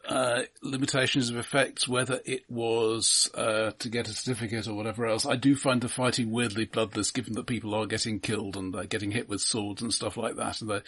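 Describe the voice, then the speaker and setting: A man co-hosts a casual film discussion. His voice sits a little low and has a husky, rough grain. He talks in a measured, matter-of-fact way. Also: flat delivery, a bit bright. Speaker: film podcast co-host.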